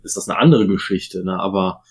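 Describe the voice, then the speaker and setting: A man with a German accent and a fairly low voice co-hosts a relaxed wrestling podcast. He talks in an opinionated way, stopping often for fillers as he weighs each point. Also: fairly quick. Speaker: podcast co-host. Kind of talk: casual wrestling podcast chat.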